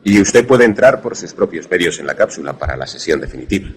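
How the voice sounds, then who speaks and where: An actor playing a wary, grave protagonist who narrates a radio suspense drama formally. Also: male voice, sits low, animated, fast. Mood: guarded politeness. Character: wary male narrator-protagonist, secret lover in danger.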